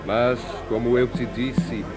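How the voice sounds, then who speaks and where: Male actor as calm holy prisoner, very slow, solemn, dignified, biblical drama, music underneath. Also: medium pitch, lightly acted. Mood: serene, solemn. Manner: solemn, measured. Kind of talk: biblical audio drama acting.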